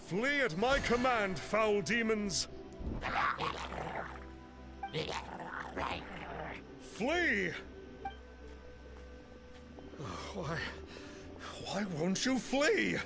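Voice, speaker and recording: Pompous, boastful young demon slayer, gravelly. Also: male voice, high-pitched, big pitch swings, slow pace, exaggerated voice. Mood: theatrical, commanding. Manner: pompous, archaic, theatrical. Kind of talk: video game voice acting. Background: game music.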